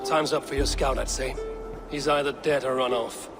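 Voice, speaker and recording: An actor playing a stern, commanding Viking warrior who speaks tersely, in a video game cutscene. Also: male voice, mid-range voice, steady tone, normal speed, lightly acted. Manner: terse, authoritative. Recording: music under dialogue.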